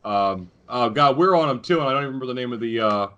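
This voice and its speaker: A man's voice: mid-range voice, animated, fast, sharp tone, highs cut off. A man hosting a podcast, chatting casually in an informal, joking manner. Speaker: podcast host. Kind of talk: casual chat.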